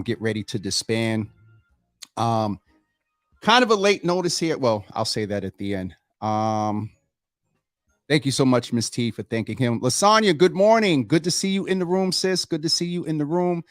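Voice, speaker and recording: Host: man, mid pitch, casual and familiar with fillers, dry close-miked sound. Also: dry recording. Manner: warm, familiar, many fillers. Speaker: talk show host. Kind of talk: casual live talk show.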